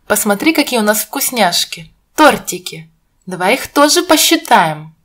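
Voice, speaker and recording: A woman's voice: mid-range voice, sing-song, normal speed, a bit bright. A woman narrating an educational video for young children in an encouraging, sing-song teacher's manner, putting questions to the viewer. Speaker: presenter of a children's counting video.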